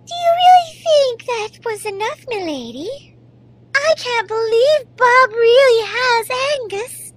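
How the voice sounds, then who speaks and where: A woman voicing a tiny, excitable, childlike fairy-like creature in a fantasy audio drama. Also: very high voice, slow pace, a little hiss or hum, heavily acted. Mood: earnest. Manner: gleeful, childlike, eager.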